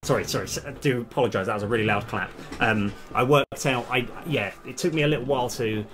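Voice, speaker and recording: A man's voice: medium pitch, fast talker, a bit bright. A man doing casual live-stream commentary as a game developer, thinking aloud in a hesitant, self-correcting way.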